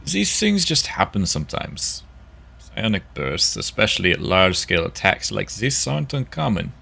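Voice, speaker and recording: A man playing a guarded, matter-of-fact investigator in a sci-fi audio drama, with a dry, measured delivery. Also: fairly low pitch, sing-song, creaky, pushed and bright, exaggerated voice.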